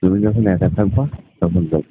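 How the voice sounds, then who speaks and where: A man's voice: low-pitched, fairly even intonation, moderate pace. A man chatting casually and flirtatiously on the phone in a coaxing, suggestive manner. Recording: tinny phone line, faint noise.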